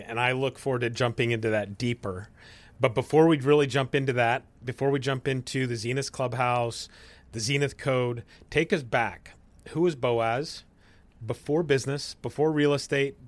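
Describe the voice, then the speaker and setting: A man's voice: medium pitch, steady tone, normal speed, dry recording. A man hosting a podcast, asking questions in a friendly, casual way. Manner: friendly, with frequent filler and restarts. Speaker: podcast host. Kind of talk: casual interview talk.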